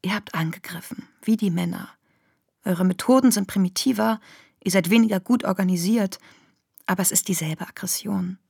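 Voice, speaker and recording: An actress playing an embattled, defiant feminist internet activist, delivering a sardonic, polemical manifesto in a radio drama with a husky voice. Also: female voice, medium pitch, moderate pace, a little mellow, no room sound, clean studio sound. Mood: sardonic, accusing.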